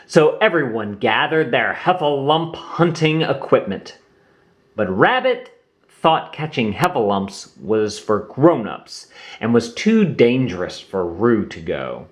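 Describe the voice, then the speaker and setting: A man reading a children's storybook aloud, steady and unhurried. His voice rises and falls expressively with the story. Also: mid-range voice. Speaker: narrator. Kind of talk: children's book read-aloud.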